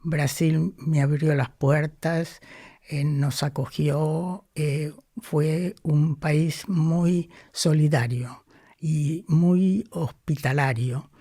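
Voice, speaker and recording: A woman, talking slowly and thoughtfully as a guest in an interview. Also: deep voice, steady tone, dark tone, slightly rough, no echo, studio quality. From Argentina. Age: 80s. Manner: unhurried, thoughtful, frequent hesitations. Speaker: guest, psychoanalyst. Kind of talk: reflective interview conversation.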